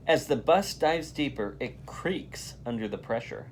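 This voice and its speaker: A volunteer storyteller reading aloud to children in an animated style. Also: mid-range voice, unhurried, slight background noise.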